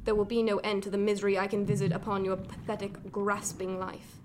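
A woman's voice: mid-range voice, level tone, brisk, lightly acted. Actress doing a bitter imperious middle-aged lady in a period radio drama, formal and biting, noise behind her. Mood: bitter, commanding. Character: cold, imperious, bitter mother, an 18th-century gentlewoman. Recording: clear background noise, ship ambience.

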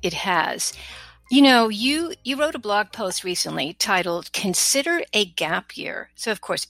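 A woman's voice: medium pitch, gravelly, a bit bright, no room sound. Woman podcast host, close dry sound. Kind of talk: conversational interview with prepared questions.

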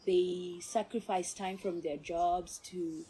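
A woman's voice: on the low side, steady tone, slow pace, dark tone, a little hiss or hum. A young woman from Kenya with a Botswana English accent, telling her story in a casual interview and laughing often. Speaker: doctor interviewee.

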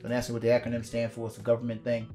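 Raspy-voiced podcast co-host with a cough. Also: male voice, mid-range voice, monotone, warm tone, soft background music. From DC area. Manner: quick, laughing rants. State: hoarse from a cough. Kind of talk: casual profane podcast banter.

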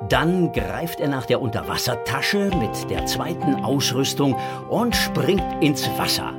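A man tells a children's story as the narrator of an audio drama. His voice is mid-pitched, and music plays clearly beneath it. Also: sing-song, measured pace. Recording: music bed behind narration.

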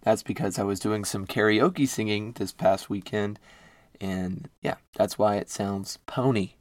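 A man hosting his own wellness podcast, slightly hoarse, upbeat and encouraging, with corny jokes and some thinking aloud. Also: lowish voice, fairly even intonation, unhurried, no room sound. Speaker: podcast host. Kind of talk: casual solo podcast talk.

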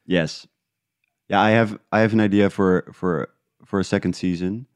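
A man talking casually and reflectively as an interview guest, feeling his way through thoughts with halting restarts. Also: sits low, fairly even intonation, clear, resonant tone, no room sound. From Netherlands. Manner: halting, thinking aloud in restarts. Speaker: interview guest, independent podcast creator.

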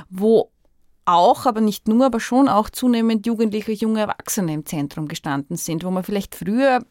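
A woman's voice: medium pitch, a little mellow, dry recording, studio quality. A woman with an Austrian accent hosting a podcast, talking reflectively and searching for her words. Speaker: podcast host. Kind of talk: reflective conversational interview.

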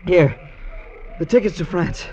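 High-voiced, agitated hunted convict. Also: male voice, darkish tone. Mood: despairing, urgent. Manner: agitated, pleading, halting. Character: frightened, despairing male convict, framed and hunted. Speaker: actor in a radio play. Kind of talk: 1940s-50s radio drama acting. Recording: dull, noisy old radio recording.

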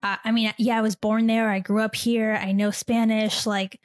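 Brief: young woman, podcast host, rambling and chatty, slightly high voice. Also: little pitch movement, moderate pace. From born in Chile, raised in the US. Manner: chatty, rambling, many "like"s and restarts. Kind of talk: casual solo podcast answering a listener.

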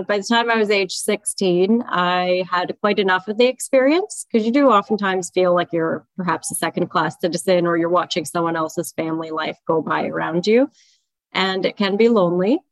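A woman's voice: mid-range voice, no room sound, rough recording. A woman in her thirties, a guest on an interview podcast, telling her story candidly in a conversational way. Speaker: podcast guest, child advocate. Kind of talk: interview answers.

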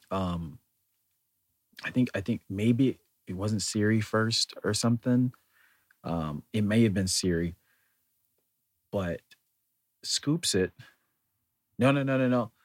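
Deep-voiced guy, kinda husky, rambling through a story and catching himself. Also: animated, slow, long pauses. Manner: rambling, self-correcting storyteller; chuckles while telling. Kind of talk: casual personal anecdote.

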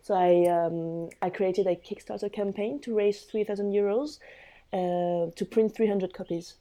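A woman's voice: mid-range voice, fairly even intonation, unhurried, dark tone. A woman in her twenties from France, guest editor answering interview questions casually with many hesitations. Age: 20s.

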